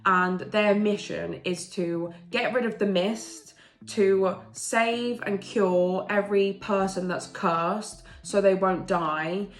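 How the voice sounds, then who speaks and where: A woman's voice: mid-range voice, steady tone, takes it slowly, echoey room. A woman with a blocked nose from a cold chats eagerly as a book vlogger, gushing about her recent reads. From England. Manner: gushing, enthusiastic, chatty. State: congested from a cold. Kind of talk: casual book review chat.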